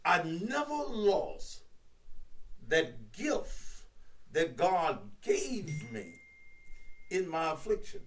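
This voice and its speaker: A man in his sixties, a radio host and preacher, talking in a slow, emphatic preaching cadence. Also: wildly swooping pitch, recorded from a distance, rough recording. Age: 60s. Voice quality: baritone. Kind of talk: preachy live-stream talk.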